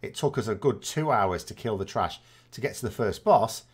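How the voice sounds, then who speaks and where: A man's voice: fairly low pitch, animated, moderate pace, slightly rough. A man, chatting casually and rambling as a gaming host answering viewer questions, often correcting himself with dry self-mockery. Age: 40s.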